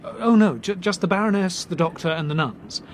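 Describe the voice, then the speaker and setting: An actor playing a breezy, quick-witted young Englishman, jaunty and theatrical, in a British radio drama. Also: high-pitched, animated, a little hiss or hum. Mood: jaunty, teasing. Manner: jaunty, teasing, theatrical storytelling.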